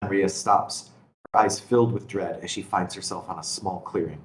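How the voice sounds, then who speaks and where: A man's voice: low voice, fairly even intonation, normal speed. A man reading screenplay action lines as scripted narration at a table read, recorded in an echoey room. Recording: echoey room with faint noise.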